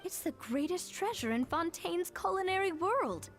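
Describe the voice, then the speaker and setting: An eager, high-voiced young woman. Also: lively intonation, normal speed, faint music behind. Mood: explaining. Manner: eager, explaining. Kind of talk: game voice acting.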